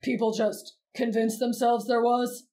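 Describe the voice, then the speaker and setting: A woman's voice: fairly high pitch, level tone, unhurried, mellow, lightly acted. A woman voicing a clingy, inquisitive teenage boy in an ASMR roleplay, eager for affection. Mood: skeptical, curious. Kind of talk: roleplay ASMR character acting.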